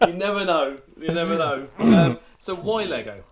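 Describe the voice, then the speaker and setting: A man's voice: fairly high pitch, lively intonation, normal speed, gravelly, a crowd in the background. A man casually hosting an interview for his online video channel. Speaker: YouTube host and interviewer.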